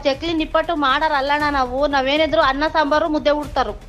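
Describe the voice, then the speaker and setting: A woman's voice: sits high, steady tone, fast, a bit bright. A woman in a casual phone conversation, blunt and protesting, repeating herself. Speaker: small eatery owner taking a call.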